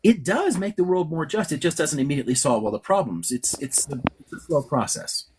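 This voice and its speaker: A man, a professor, talking bluntly and with a lot of swearing on a casual live stream, quick and full of restarts. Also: on the high side, animated, background noise. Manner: blunt and profane, fast with many restarts. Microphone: live-stream setup in his office.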